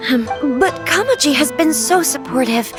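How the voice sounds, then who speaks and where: Gracious young lady of a noble clan for a game: high-pitched, formal, earnest, slightly anxious. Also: lively intonation, moderate pace, over music. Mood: troubled, earnest. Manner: formal, polite. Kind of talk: video game voice acting.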